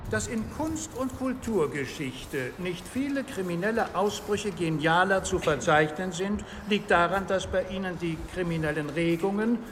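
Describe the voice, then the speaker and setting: Actor as high-voiced, pedantic professor. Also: male voice, lightly acted. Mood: pedantic, stern. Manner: pedantic, measured lecturing. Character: stern pedantic male criminology professor. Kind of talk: radio drama lecture. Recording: reverberant lecture hall, faint noise.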